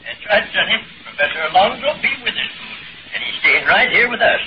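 An actor playing a folksy, brisk small-town father with a husky, rough voice in a 1940s radio drama. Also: high-pitched, lively intonation, noisy background. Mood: folksy, chiding. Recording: dull 1940s radio recording.

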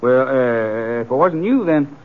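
An actor playing an excitable, gossipy townsman in a radio western, stammering in folksy American speech. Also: mid-range voice, measured pace. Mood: insistent. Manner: stammering, folksy, repeats himself. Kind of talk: 1950s radio western acting. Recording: tinny, narrow 1950s radio recording.

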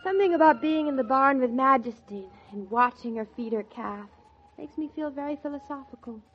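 A whimsical young wife in a 1940s radio play. Her high voice is earnest and full of wonder. The recording is old and muffled. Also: lively intonation, brisk, a little hiss or hum. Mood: earnest, delighted. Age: young adult. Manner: gushing, wonder-struck.

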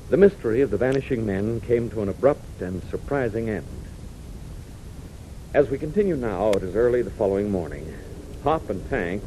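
A man's voice: fairly low pitch, dark tone. An American man announcing and narrating a scripted 1940s radio adventure serial. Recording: muffled, noisy 1940s radio recording.

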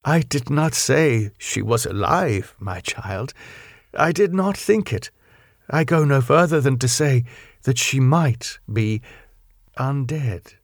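A man voicing a stern, solemn elderly foreign doctor who pleads gravely in an audiobook story. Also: medium pitch, animated, takes it slowly, no echo, clean studio sound. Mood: solemn, cryptic.

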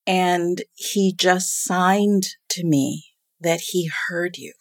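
A middle-aged woman tells a story in a loud, animated way, laughing often as she goes. Her voice sits a bit low and moves unhurriedly. The sound is dry and close. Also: very slow, no echo. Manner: calls herself loud-voiced. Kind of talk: storytelling in a casual chat.

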